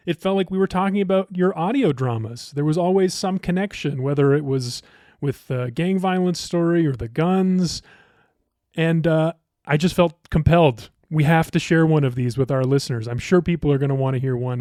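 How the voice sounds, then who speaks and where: A man's voice: on the high side, mellow, no echo, studio quality. A man hosting a podcast in a conversational, enthusiastic and appreciative way. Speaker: podcast host.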